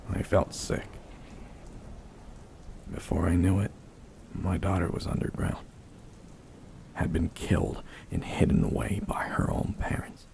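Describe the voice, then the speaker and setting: Low voiced guy reading a horror story, heavy vocal fry, somber and dramatic. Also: a little hiss or hum. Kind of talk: dramatic first-person horror narration. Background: slow dark music.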